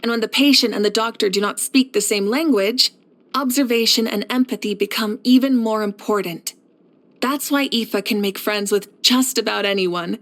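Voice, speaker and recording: A woman voicing a steadfast, caring warrior leader in a video game, speaking in a measured, reflective and assured way. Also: fairly high pitch, a bit bright. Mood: calm, assured. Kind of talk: scripted video game character voice lines.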